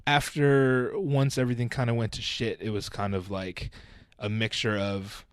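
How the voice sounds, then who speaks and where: A man's voice: lowish voice, flat delivery, normal speed, clear, resonant tone, no echo, studio quality. A man in his thirties, a podcast guest, talking about his upbringing in a laid-back, nonchalant way and swearing freely. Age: 30s. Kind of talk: casual chat.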